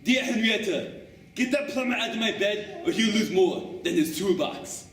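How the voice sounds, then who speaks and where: A young man voicing a big, slow-witted teenage tough guy who talks bluntly. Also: sits high, expressive, a bit bright. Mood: bawdy. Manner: blunt, confused. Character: burly dim teen thug boy. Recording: echoey room, far camera.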